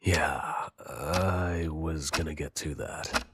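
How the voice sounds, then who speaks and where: An actor playing a gruff, world-weary military man in an anime audio drama, dry, sardonic and calm but grim. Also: very deep voice, slow, background noise, exaggerated voice.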